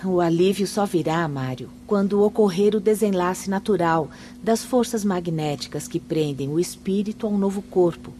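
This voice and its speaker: A woman playing a serene, grave spirit instructor in a radio drama, explaining in a measured, solemn way. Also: fairly low pitch, dark tone, a little hiss or hum, lightly acted. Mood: solemn, reassuring.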